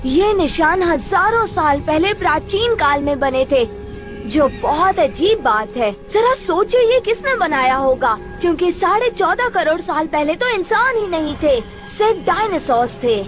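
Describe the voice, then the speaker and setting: A woman voicing a cocky, mischievous young boy in an animated film dub, chatty, boastful and excitable, with music behind. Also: very high voice, unhurried. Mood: enthusiastic.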